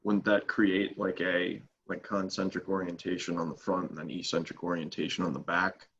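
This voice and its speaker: A man's voice: sits low, flat delivery, normal speed, a bit bright, a little hiss or hum. A man asking technical questions on a group call, thinking aloud in a tentative, informal way over dull audio. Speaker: participant putting questions to the host.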